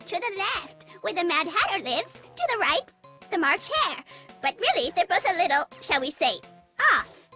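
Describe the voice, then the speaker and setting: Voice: extremely high pitch, very animated, normal speed, bright, forceful tone, faint music behind, pitched above the performer's own voice, exaggerated voice. The narrator of a storybook voicing a huge grinning Cheshire cat who gives sly, knowing hints. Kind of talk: storybook reading.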